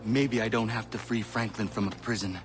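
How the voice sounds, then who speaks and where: Man acting a low-voiced, reserved young hunter. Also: steady tone, normal speed, lightly acted. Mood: guarded, determined. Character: serious, reserved young male hunter hero. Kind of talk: video game cutscene acting. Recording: loud background music.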